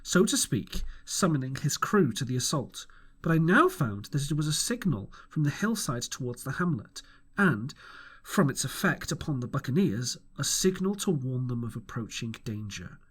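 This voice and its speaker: A man's voice: mid-range voice, very animated, normal speed, husky. A man reading a classic adventure novel aloud as an audiobook, narrating expressively in first person. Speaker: audiobook reader. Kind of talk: storybook narration.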